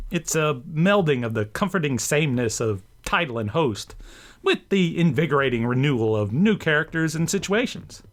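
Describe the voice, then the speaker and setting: A man's voice: on the high side, fairly bright tone. A man hosting a scripted video essay, narrating with enthusiasm and an emphatic, theatrical delivery. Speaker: host and writer.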